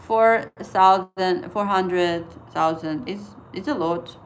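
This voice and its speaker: Slow-talking Estonian-accented woman streamer. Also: fairly low pitch, slight background noise. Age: 30s. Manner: chatty, asks viewers questions, halting non-native English with fillers. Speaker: livestream trading host. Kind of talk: casual livestream chat with viewers.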